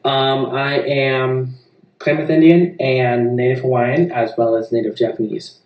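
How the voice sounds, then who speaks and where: A young American man giving informal, somewhat halting answers as a student being interviewed. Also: medium pitch, little pitch movement, a little mellow. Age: young adult. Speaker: interviewee, student filmmaker and musician. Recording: echoey room, distant mic.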